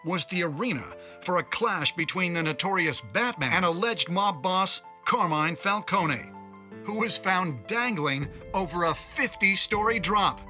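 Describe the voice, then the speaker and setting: Video game news pundit, slightly high voice, dramatic, wry, scripted broadcast, music underneath. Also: male voice, sharp tone, heavily acted. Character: opinionated, dramatic male TV news commentator. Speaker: news presenter.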